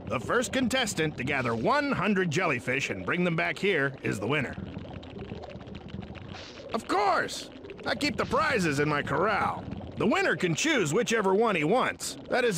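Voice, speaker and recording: A man voicing an excitable, folksy old bait shop owner in a cartoon video game. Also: fairly high pitch. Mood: delighted. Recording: clear background noise.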